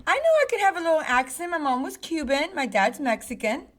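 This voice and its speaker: A woman's voice: high-pitched, brisk. A woman from Chicago, raised speaking Spanish first, telling a story as a podcast co-host in casual chat. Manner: animated storyteller, laughs often.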